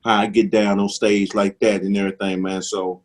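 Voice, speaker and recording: A middle-aged comedian from Mississippi with a strong Southern accent and a slight lisp, chatting casually in a laid-back, drawling way. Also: male voice, on the low side, flat delivery, brisk. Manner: laid-back, drawling, says "man" a lot. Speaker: stand-up comedian guest. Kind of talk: casual interview chat.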